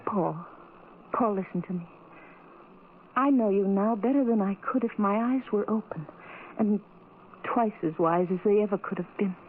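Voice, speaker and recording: A woman's voice: medium pitch, normal speed, long pauses, lightly acted. An actress playing a tender, adoring young blind woman in an old-time radio drama, speaking earnestly and romantically. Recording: tinny, noisy old radio recording.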